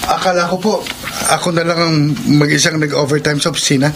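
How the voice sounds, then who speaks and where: An actor plays a young Filipino office man in a radio drama, polite and protective. His voice sits a little high, earnest and deferential, over clearly noisy ambience. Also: fairly even intonation, fast talker, lightly acted. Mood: hesitant, deferential.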